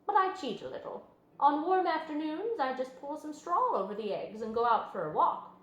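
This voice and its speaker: Woman doing a high, blunt mother goose. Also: expressive, moderate pace, fairly bright tone, reverberant, dull audio. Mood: chatty. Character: blunt, unbothered mother goose, broody on her eggs.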